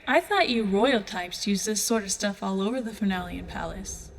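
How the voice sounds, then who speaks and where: A woman's voice: mid-range voice, moderate pace, natural voice. A woman playing a brash, wisecracking female rogue guide in an audio drama, sassy, slangy and used to giving orders. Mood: teasing, confident.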